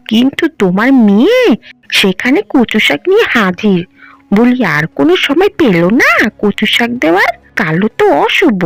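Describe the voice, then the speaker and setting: Brief: woman as a scolding cartoon village woman, high and gravelly. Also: dry recording. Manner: scolding, complaining. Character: nagging middle-aged village woman.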